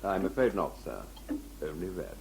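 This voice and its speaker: Man acting a low-voiced, haughty butler. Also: animated, normal speed, gravelly. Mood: disdainful. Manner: clipped, deferential, haughty. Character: stiff, disdainful formal butler. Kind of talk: fan audio sci-fi drama acting. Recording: very noisy amateur audio-drama tape.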